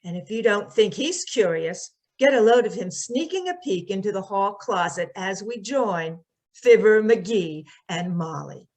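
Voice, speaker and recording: A woman's voice: mid-range voice, animated, unhurried, darkish tone. A woman announcer reading scripted narration in an old-time radio show style.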